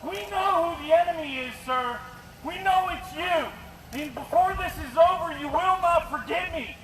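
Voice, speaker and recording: A man's voice: very high-pitched, moderate pace. A man giving a confrontational, accusing protest speech through a bullhorn, hammering out his words outdoors. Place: outdoors at a street rally, traffic noise behind. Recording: noisy echoey outdoor street recording.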